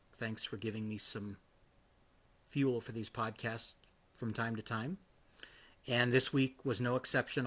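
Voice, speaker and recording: A man hosting his own live podcast, a little hoarse after hours of singing, talking in a chatty, self-deprecating way with frequent restarts. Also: medium pitch, a bit bright. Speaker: podcast host. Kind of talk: live-streamed casual monologue.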